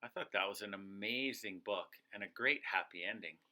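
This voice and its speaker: A man narrating a children's storybook aloud in an expressive, dramatic storytelling style. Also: lowish voice, brisk. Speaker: co-reader of a children's read-aloud.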